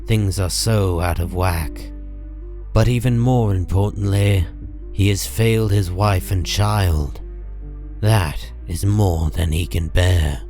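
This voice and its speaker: A man narrating a comic book story in a dramatic, deliberate storytelling style. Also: low voice, dark tone, soft background music.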